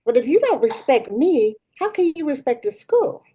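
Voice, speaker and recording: A self-important woman principal, played by an actress in a sitcom read, with a fairly high voice that moves around a lot. She is breezy and petty, putting on a show of being reasonable. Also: sing-song, moderate pace, warm tone. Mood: pompous. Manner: breezy, pompous, mock-reasonable. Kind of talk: casual table read of a sitcom script.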